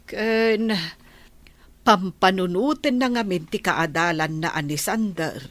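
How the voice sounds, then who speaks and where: A woman's voice: medium pitch, slow, fairly bright tone, no room sound. A woman playing a patient, worried middle-aged village mother in a radio drama. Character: patient, worried village mother defending her grown child. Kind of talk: Ilocano radio drama acting.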